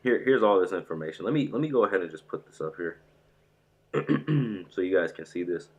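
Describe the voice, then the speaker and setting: A man streaming live about a fighting game, rambling and excitable, sounding stuffy from a sore throat. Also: deep voice, brisk, lo-fi sound. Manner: excitable, rambling, says "bro" a lot. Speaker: gaming streamer.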